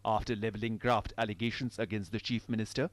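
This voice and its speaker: A man's voice: fairly low pitch, little pitch movement, fast, dry recording. A man with an Indian accent narrating a scripted news report as a reporter.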